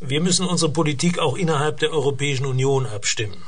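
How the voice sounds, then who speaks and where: An actor playing an evasive government minister in a radio drama debate, measured and diplomatic. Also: male voice, on the high side, slightly rough.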